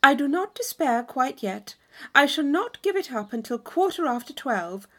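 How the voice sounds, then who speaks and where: A woman's voice: high voice, sing-song, moderate pace, fairly bright tone, pitched above the performer's own voice. A woman voicing an eager, anxious young lady from a storybook, earnest and given to dramatic exclamations. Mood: stubborn, then despairing.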